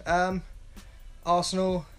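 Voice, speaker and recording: A man's voice: high voice, completely flat delivery, slow, a bit bright, faint music behind. A young man in his twenties giving a blunt, rambling football opinion vlog from a small room at home on an earphone mic. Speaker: football pundit vlogger. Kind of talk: casual solo football analysis vlog. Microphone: wired earphone mic.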